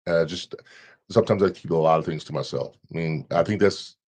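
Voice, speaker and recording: A man with a deep voice, a podcast guest talking in a relaxed, halting way, with many restarts and repeated words. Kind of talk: casual conversation.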